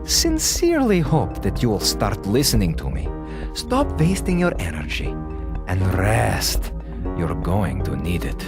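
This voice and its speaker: An actor in a radio drama playing a menacing, coldly patient space station doctor, formal, deliberate and condescending. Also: male voice, on the low side, wildly swooping pitch, over music, exaggerated voice. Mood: cold menace.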